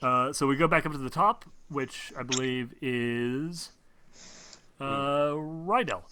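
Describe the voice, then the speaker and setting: A man narrating a tabletop game as game master in a casual, halting way, improvising and correcting himself as he goes. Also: mid-range voice, pushed and bright, a little hiss or hum.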